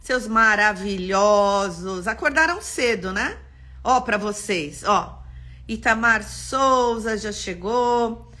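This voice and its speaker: A woman from São Paulo with a Paulista accent, an architect teaching an expressive live-stream class. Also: fairly high pitch. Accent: Paulista Brazilian Portuguese. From São Paulo, Brazil. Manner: expressive, greets viewers by name.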